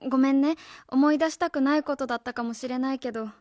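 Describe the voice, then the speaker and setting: A woman's voice: high-pitched, steady tone, quick pace, darkish tone, dry recording, studio quality, natural voice. A woman playing an earnest, by-the-book schoolteacher in a radio drama, careful, polite and insistent. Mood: gentle, serious. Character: earnest, by-the-book female schoolteacher on home visit. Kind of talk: Japanese radio drama acting.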